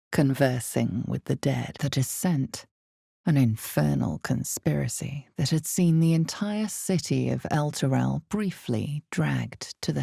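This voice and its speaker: Casting: ominous fantasy game storyteller, female, very low and husky, slow deliberate delivery. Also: mellow, no echo, clean studio sound, heavily acted. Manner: measured, deliberate, theatrical with weighted pauses. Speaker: narrator of a fantasy role-playing video game. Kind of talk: dramatic second-person scripted narration.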